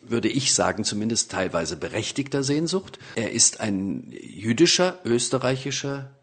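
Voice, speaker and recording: A man with a somewhat low, husky voice, speaking in a thoughtful, measured conversational manner. He is an author reflecting on his work and pauses often with small hesitations. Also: big pitch swings, a bit bright. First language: German. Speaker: author and translator. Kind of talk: conversational interview.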